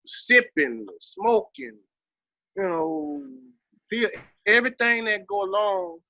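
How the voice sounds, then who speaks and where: A man's voice: sits high, expressive, slow pace, pushed and bright, no room sound. A man with a Texas Southern accent talking casually in an interview, emphatic and full of slang and swearing. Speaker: interview guest, hip-hop veteran.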